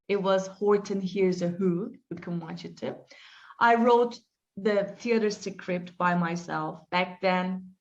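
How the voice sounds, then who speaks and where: Woman teacher, unhurried and reflective, muffled call audio. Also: mid-range voice. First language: Turkish. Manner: slow, reflective, with frequent fillers and restarts. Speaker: English teacher being interviewed. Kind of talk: interview answers, storytelling.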